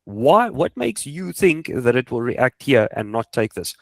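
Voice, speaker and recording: Male coach, Afrikaans-speaking South African accent. Informal, emphatic instruction with rhetorical questions, average pitch, very little room sound. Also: very animated, measured pace, gravelly, no echo, clean studio sound. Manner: emphatic, repeats points, asks rhetorical questions. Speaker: trading coach. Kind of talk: informal instructional screen walkthrough.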